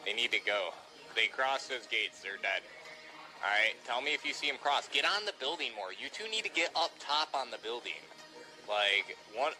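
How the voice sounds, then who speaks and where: A young man playing a roleplay shooter game, giving quick orders and calling everyone dude. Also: medium pitch, pushed and bright, low-quality recording. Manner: bossy, gives quick orders, says dude a lot. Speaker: gamer streaming roleplay. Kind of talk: casual game chat. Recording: music playing behind.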